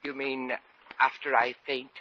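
A man's voice: mid-range voice, completely flat delivery, a little slow, lightly acted. An actor playing a timid, prissy male bank teller, stammering and fussy, in the style of a 1940s radio comedy. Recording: muffled old radio broadcast.

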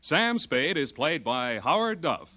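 A man's voice: on the high side, big pitch swings, slow pace, bright, forceful tone, faint music behind. A man working as a radio announcer, reading scripted sponsor copy and credits in polished, emphatic 1940s style. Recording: 1940s radio broadcast recording.

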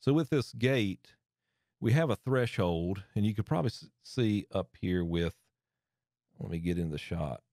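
Low-voiced man demoing audio gear. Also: lively intonation, unhurried, a little mellow, no echo, studio quality. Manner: chatty, explains while turning knobs, restarts phrases. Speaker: audio gear tutorial host. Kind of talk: casual hands-on demonstration. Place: home studio desk. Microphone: studio mic on arm close to face.

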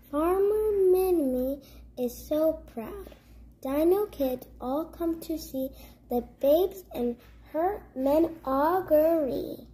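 A young child reads a rhyming storybook aloud in a high voice. The pace is slow, with careful word-by-word phrasing, like a host at story time for little ones. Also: dark tone. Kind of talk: read-aloud of a children's rhyming picture book.

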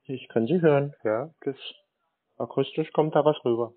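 A man taking a phone call, answering in a deadpan, evasive way while playing dumb. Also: mid-range voice, normal speed. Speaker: call recipient pranking a scammer. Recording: tinny phone line.

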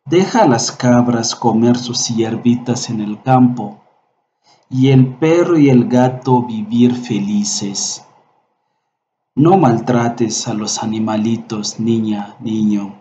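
Slow-spoken Congolese-born professor, mellow tone. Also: male voice, mid-range voice, reverberant, dull audio, slight background noise. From Democratic Republic of the Congo. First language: Swahili. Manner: slow, hesitant speech with frequent fillers. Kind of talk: introducing and reciting a poem. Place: small indoor room at a desk.

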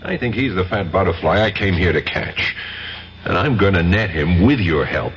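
Brash, animated American detective. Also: male voice, sits low, normal speed. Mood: insistent, defiant. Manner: insistent, blunt. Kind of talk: 1970s radio drama acting. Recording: dull, noisy 1970s radio recording.

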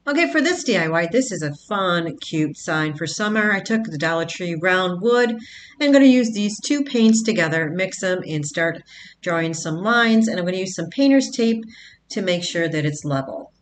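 A woman's voice: on the low side, expressive, moderate pace, slight background noise. A woman hosting a craft channel, talking casually step by step through a project in a voice-over, with the odd filler. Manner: plain step-by-step explaining with fillers.

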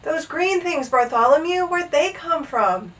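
A woman's voice: sits high, expressive, a little slow, fairly bright tone, recorded from a distance, voice pushed up. A woman voicing a bumbling, flustered royal trumpeter, a plaintive man in a storybook. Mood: sleepy, puzzled. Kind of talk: animated storybook character voice.

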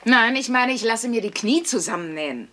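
Wry, sardonic mother with lively intonation. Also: a little high, brisk. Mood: sarcastic. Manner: dry, sardonic. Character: wry working-class mother, sarcastic but caring. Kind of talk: German-dubbed sitcom dialogue.

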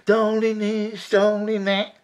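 A gruff, sarcastic police inspector. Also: male voice, high voice, completely flat delivery, slow pace. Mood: cynical, brash. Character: rude, cynical, bullying police chief inspector. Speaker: actor in a radio drama. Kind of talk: radio drama acting.

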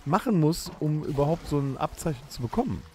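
A man's voice: on the high side, expressive, a little fast, mellow, slight background noise. A middle-aged man co-hosting a podcast, explaining things to friends in casual banter. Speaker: podcast co-host.

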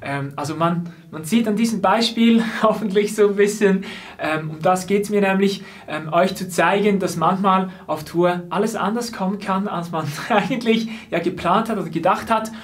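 A young man tells a tour story straight to camera in a small indoor room. His voice sits high for a man, and he talks calmly in an explanatory way, slipping in soft laughs. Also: moderate pace. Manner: calm, explanatory, soft laughs between phrases. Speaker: drummer and vlogger. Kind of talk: seated piece-to-camera storytelling. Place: small indoor set with lamp and painted backdrop. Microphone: fixed camera, seated framing.

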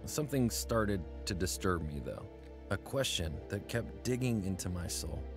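A man's voice: low-pitched, expressive, moderate pace. A man in his twenties narrating his own story reflectively in a radio drama. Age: 20s. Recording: narration over music.

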